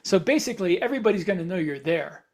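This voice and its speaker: A man speaks as a podcast co-host in a casual technical discussion. He is matter-of-fact and deliberate, pressing his points firmly as if debating. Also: high-pitched, lively intonation, a little mellow. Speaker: podcast co-host and science fiction author.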